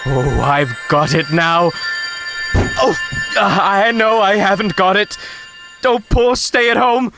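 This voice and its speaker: A woman's voice: medium pitch, slow, a bit bright, faint music behind. A woman playing a proper, keen, slightly pompous English schoolboy in an audio drama. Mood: curious, flustered.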